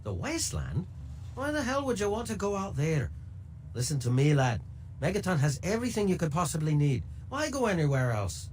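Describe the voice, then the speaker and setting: Shady video game fixer: higher-pitched, middle-aged, mercenary, sardonic, insinuating, very lively inflection. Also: male voice, fairly quick, a little hiss or hum, poor audio. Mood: incredulous. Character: sly, mercenary middle-aged male information dealer.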